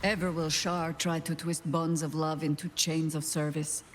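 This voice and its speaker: A woman's voice: deep voice, flat delivery, moderate pace, lightly acted. An actress playing a grave, pious woman warrior in a video game, speaking in a stately and formal manner. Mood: solemn. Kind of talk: video game dialogue acting.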